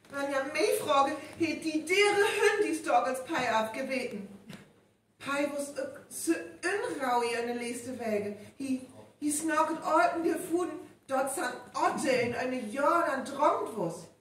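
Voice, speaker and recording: A frightened, excitable woman in a live radio play with a North Frisian accent. Her high voice swings widely and stumbles over words. It comes from far off in a very echoey hall. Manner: theatrical, halting and stammering when frightened. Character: terrified excitable woman, eyewitness to a monstrous hound. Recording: echoey hall, distant, some noise.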